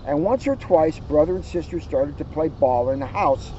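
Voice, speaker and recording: A man's voice: on the high side, lively intonation, moderate pace. A man reading and explaining to children in an emphatic way, repeating key phrases, outdoors. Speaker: police officer reading to children. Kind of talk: storybook narration and casual explanation. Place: outdoors, sitting outside. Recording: muffled outdoor recording with traffic noise.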